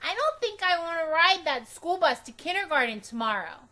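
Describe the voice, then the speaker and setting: A woman voicing a bold, stubborn, excitable five-year-old girl in a children's storybook, high and loud, with blunt, childish phrasing. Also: normal speed, full character voice. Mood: unsure, insistent. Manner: blunt, emphatic, childish grammar.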